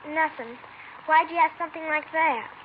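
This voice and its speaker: A high-pitched, frightened little girl, voiced by a woman in an old radio crime play, guarded and insistent as she keeps visitors away. The sound is dull and lo-fi, with faint hiss under it. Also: normal speed, natural voice. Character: frightened, defensive young girl. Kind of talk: 1950s radio acting.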